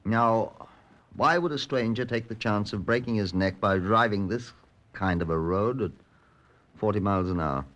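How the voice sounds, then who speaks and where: Man acting as low-voiced British police chief inspector. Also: moderate pace, fairly bright tone, close to an everyday voice. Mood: probing, thoughtful. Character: composed, methodical male Scotland Yard chief inspector. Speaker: lead actor and narrator in a radio crime drama. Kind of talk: old-time radio drama acting.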